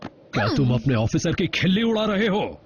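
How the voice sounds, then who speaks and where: A man's voice: high-pitched, big pitch swings, moderate pace. A man playing a strict, by-the-book robot military commander in a cartoon, speaking with stern authority. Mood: stern, disapproving. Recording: dull sound, heavy noise behind.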